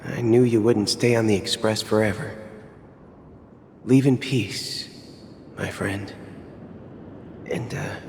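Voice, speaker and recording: A man playing a calm, gentle space traveller speaking as a fond friend in a video game cutscene. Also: fairly low pitch, slow, long pauses, big-room sound, a little hiss or hum. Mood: solemn, fond.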